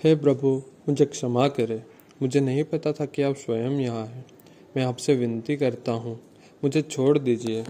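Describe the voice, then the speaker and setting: Puppet show serpent, male actor: enormous, venomous, now beaten and begging, mid male pitch, noisy recording. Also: steady tone, moderate pace, close to an everyday voice. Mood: humble pleading. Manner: humble, pleading. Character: huge venomous male serpent, defeated and pleading. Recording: clearly noisy recording with clicks.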